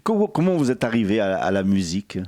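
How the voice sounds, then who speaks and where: Halting male radio interviewer. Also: fairly low pitch, sing-song, darkish tone. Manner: halting, repeats words while asking questions.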